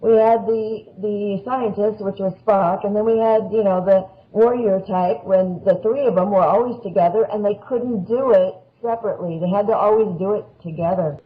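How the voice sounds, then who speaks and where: A man's voice: sits high, steady tone, normal speed, few pauses. A man speaking as a panel guest in a casual discussion, explaining things through anecdotes. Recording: dull lo-fi audio.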